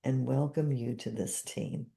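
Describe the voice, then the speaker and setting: A woman's voice: rumbling low, fairly even intonation, unhurried, vocal fry, mellow. A woman in her seventies hosting a podcast, chatting casually and repeating guided phrases after her guest. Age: 70s. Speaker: podcast host and therapist.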